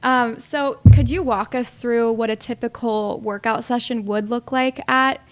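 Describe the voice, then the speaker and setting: A woman's voice: a little high, fairly even intonation, measured pace. Upbeat woman hosting a podcast. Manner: upbeat, short affirmations. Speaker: podcast host. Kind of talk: podcast interview.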